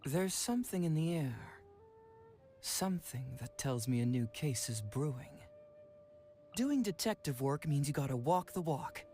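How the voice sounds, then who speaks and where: A man's voice: fairly high pitch, animated, measured pace, dark tone, soft background music. A man playing a cocky, playful young detective from a game, chatty, teasing and self-assured. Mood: intrigued. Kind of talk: game character acting.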